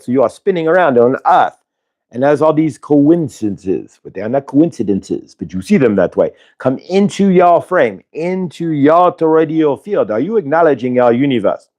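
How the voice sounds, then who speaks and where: A man voicing a benevolent otherworldly spirit collective in an affected, vaguely Indian-sounding accent, with drawn-out emphatic words, as in a live channeled healing session. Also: fairly high pitch, darkish tone, muffled recording, lightly acted. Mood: insistent, wondering. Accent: affected, vaguely Indian-sounding put-on accent.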